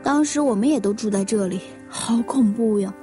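A woman's voice: on the high side, normal speed, over music, lightly acted. A woman playing a gossipy, easily shocked young woman in a detective audio drama.

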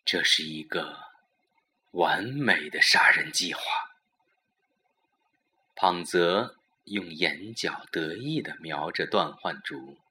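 A man giving a measured, dramatic scripted narration of a suspense story. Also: low-pitched, lively intonation, pushed and bright.

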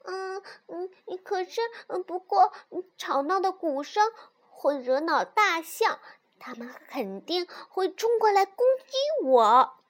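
A woman acts out a bold little fox child for a children's storybook. The voice is high and childlike, with lively leaps in pitch. Every line comes out eager and emphatic, full of boastful confidence. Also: takes it slowly, heavily acted. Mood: boastful, animated.

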